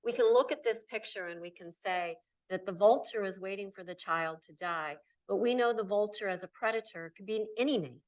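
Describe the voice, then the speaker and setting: Middle-aged woman from nebraska giving a keynote, emphatic, mic kinda far away. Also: medium pitch. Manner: emphatic, repeats key words in lists. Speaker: keynote speaker. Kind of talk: conference talk. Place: lecture hall.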